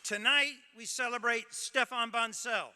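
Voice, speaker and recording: A man's voice: very high-pitched, steady tone, a little slow, very noisy. A man, the president of a nonprofit, giving a formal welcome speech at a gala with light joking. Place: large ballroom. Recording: hall reverb, faint crowd.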